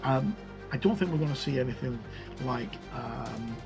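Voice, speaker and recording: Creaky-voiced man reviewing a book casually. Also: medium pitch, expressive, moderate pace. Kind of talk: casual unscripted review commentary while leafing through a book. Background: music.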